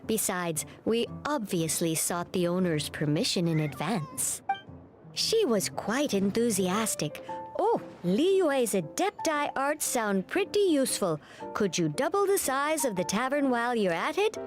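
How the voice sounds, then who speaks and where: Formal bookish young game heroine, highish voice, very courteous, music underneath. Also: female voice, big pitch swings. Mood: helpful, courteous. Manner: formal, measured, articulate. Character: polite, scholarly young woman with magical arts. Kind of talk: scripted video game dialogue.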